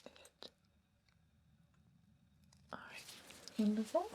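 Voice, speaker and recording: A woman whispering an ASMR roleplay as a calm, businesslike vampire dentist, giving gentle, soft-spoken instructions. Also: mid-range voice, slight background noise.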